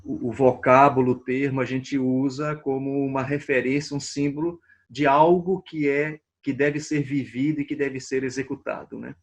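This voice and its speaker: A man, a pastor, explaining ideas in a measured way and restating his terms in a panel discussion. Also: mid-range voice, level tone.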